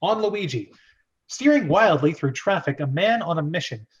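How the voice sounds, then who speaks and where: A man's voice: fairly high pitch, lively intonation, normal speed, husky, lo-fi sound. A man hosting a casual amateur screenplay read, narrating stage directions and breaking off into laughing asides. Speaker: host and narrator of a script read-through.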